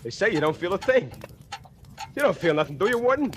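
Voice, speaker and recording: Condemned convict in an old radio play, cocky then screaming scared, voice swinging high and low. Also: male voice, very animated, fast, fairly bright tone. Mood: bitter bravado. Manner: boastful bravado breaking into pleading terror. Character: panicking condemned male convict facing execution. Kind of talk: 1940s radio acting. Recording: noisy old radio broadcast.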